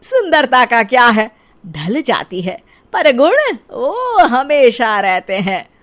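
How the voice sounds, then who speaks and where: An actress voicing a proud, boastful middle-aged mother-in-law who scolds and lectures slowly. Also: fairly high pitch, very animated, fairly bright tone, full character voice. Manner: slow, scolding and preachy.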